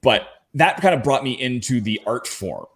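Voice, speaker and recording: A man's voice: medium pitch, sing-song, normal speed. A man from Canada speaking as a podcast panelist, telling personal anecdotes in a casual, conversational way.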